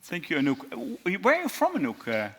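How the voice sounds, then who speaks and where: A middle-aged man with a Dutch accent, a lecturer moderating a student panel in a friendly, halting way with many fillers. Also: fairly high pitch, huge pitch swings, fairly bright tone, husky.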